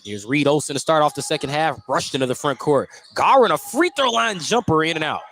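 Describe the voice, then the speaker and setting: American man calling basketball, higher-pitched voice. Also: animated, brisk, fairly bright tone. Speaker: play-by-play announcer. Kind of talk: live radio basketball commentary. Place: indoor school gym during a game. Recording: noisy gym broadcast. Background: gym noise, bouncing basketballs.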